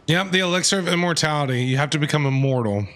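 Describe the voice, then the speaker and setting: A man's voice: a little high, quick pace. A man streaming a game with casual, slangy commentary, repeating words for emphasis. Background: faint game music.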